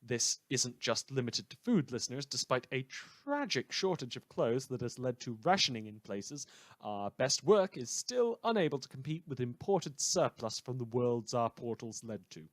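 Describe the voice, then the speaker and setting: A man's voice: medium pitch, big pitch swings, moderate pace, dry recording, natural voice. Man playing a sardonic radio newscaster, dry close sound. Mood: wry, mock-solemn. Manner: wry, theatrical, conversational asides. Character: sardonic, rambling madman community radio newscaster in fiction. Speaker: radio news host. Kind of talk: satirical scripted radio news monologue.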